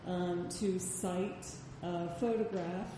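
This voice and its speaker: A woman moderator with a lowish voice poses a question in a slow, deliberate way. She sounds far from the microphone. Long hall reverb and background noise surround her. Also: steady tone. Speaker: panel moderator. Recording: distant echoey hall with noise.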